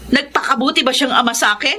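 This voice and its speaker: A woman's voice: high voice, quick pace, sharp tone, slight background noise. An actress playing a bitter, unforgiving middle-aged mother in a Filipino radio drama, indignant and confrontational. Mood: furious.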